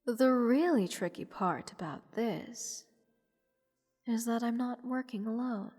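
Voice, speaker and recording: A woman voicing a playful, haughty goddess in an intimate fantasy audio roleplay, teasing and condescending toward a captive mortal in measured, theatrical speech. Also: on the high side, darkish tone. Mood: impressed, sly. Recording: long reverb with music behind. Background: orchestral music.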